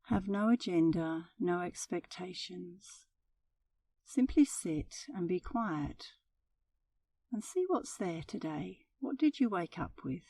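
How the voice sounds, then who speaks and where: Female meditation guide: lowish, warm and relaxed voice, unhurried and soothing, gentle instructional narration. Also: recorded from a distance.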